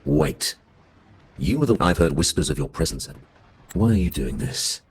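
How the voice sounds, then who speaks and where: An actor playing a dark, commanding villainous lord in a video game, grave and imperious, with a gravelly rasp and formal speech. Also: male voice, low voice, expressive, moderate pace, full character voice. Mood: grave, probing. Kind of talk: scripted video game dialogue.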